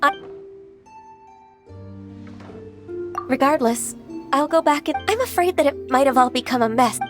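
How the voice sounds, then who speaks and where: A woman voicing a gentle, earnest, youthful-sounding immortal secretary with a soft, polite manner in an anime game. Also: high-pitched, fairly quick, music in the background, lightly acted. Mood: businesslike. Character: gentle, earnest, ageless half-human adeptus secretary woman. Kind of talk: anime video game voice acting. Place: studio recording with music behind.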